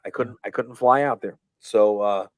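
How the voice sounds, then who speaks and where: A man being interviewed on a podcast, telling stories in a rambling way, full of fillers and restarts, and acting out quoted lines with lively voices. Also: lowish voice, normal speed, clear, resonant tone, dry recording. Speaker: guest, professional wrestler. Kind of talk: casual storytelling in a podcast interview.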